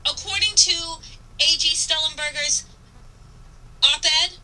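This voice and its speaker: High, very bright, forceful woman commentator. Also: recorded from a distance, low-quality recording. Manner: emphatic, earnest, deliberate delivery with dramatic repetition. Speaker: radio talk show host. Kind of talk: impassioned political commentary reading from an op-ed.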